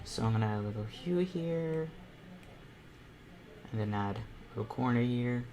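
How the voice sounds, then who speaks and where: A young man with a medium-pitched voice hosts an informal painting lesson. He talks off the cuff, circling back and repeating phrases as he works. His delivery is casual and encouraging, like a peer showing others the ropes. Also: animated, normal speed, crowd noise, low-quality recording. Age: teenager or young adult. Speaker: painting panel host and self-taught artist. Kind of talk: casual live tutorial commentary while painting.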